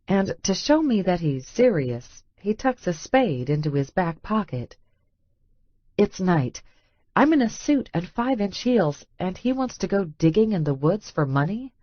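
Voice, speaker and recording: A woman's voice: on the low side, very animated, measured pace, no echo. A woman reading a scripted first-person romance audiobook, with slightly muffled sound. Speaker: audiobook narrator.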